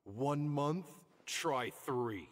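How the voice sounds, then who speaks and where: An actor playing an indignant elderly scholar in a video game, scolding in wordy, theatrical rhetorical questions. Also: male voice, mid-range voice, lively intonation, drawn-out words. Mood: stern.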